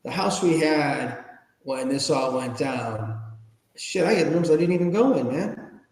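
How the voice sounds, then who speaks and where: An American man from Chicago, fifties or older, telling his own story candidly as a podcast guest in an informal, halting, emphatic way. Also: a little high, lively intonation, gravelly, a little mellow. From Chicago, United States. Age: 50s or older. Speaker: podcast guest, ethics trainer and speaker. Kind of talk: candid personal storytelling in an interview. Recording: roomy, echoing reverberant room.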